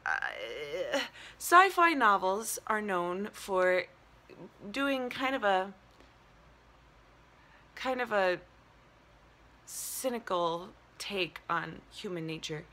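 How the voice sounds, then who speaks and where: A woman gives a casual review as the host of her own show. Her voice sits at a middling pitch, and she feels her way through her thoughts in loose, hesitant phrases. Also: very animated, extremely slow, pauses often, sharp tone. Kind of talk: casual solo movie review chat.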